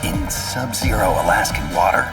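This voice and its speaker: An actor playing a gruff, wary retired special forces operative, with a breathy gravelly rasp and terse, suspicious questions. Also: male voice, low voice, moderate pace, distant mic, over loud music. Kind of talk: cinematic voice acting.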